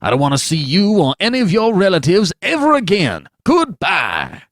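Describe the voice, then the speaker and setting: A man's voice: deep voice, wildly swooping pitch, measured pace, vocal fry, no echo, clean studio sound, pitched below the performer's own voice, heavily acted. A man doing a cutting, indignant old doctor. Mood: irritated. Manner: sharp, cutting, scolding. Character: irritable elderly family doctor, curt and indignant.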